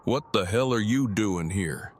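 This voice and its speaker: An actor playing a stiff, verbose, bookish man with deadpan, over-technical delivery in an audio drama. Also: on the low side, a little slow, slight background noise. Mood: stern. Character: stiff, bookish, verbose male survivor, dryly serious.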